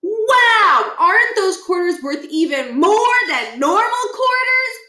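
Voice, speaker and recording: Woman as an excitable, high-pitched gullible schoolboy. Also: slow pace, reverberant, heavily acted. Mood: amazed. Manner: wide-eyed, eager.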